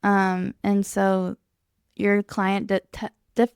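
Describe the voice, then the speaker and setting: A woman's voice: mid-range voice, completely flat delivery, slow pace, darkish tone, clean tone, no room sound, studio quality. A young woman co-hosting a podcast, explaining things in a casual, conversational way. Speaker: podcast co-host.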